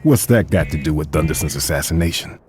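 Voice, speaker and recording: A man playing a terse, stoic assassin who asks clipped, businesslike questions in an animated game. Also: extremely low pitch, fast talker, faint music behind. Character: terse, stoic male assassin taking a briefing. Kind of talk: animated game cutscene dialogue.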